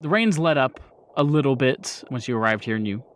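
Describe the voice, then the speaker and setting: A man's voice: mid-range voice, expressive, a little fast, a little hiss or hum. A man acting as game master, improvising the narration of a tabletop role-playing game.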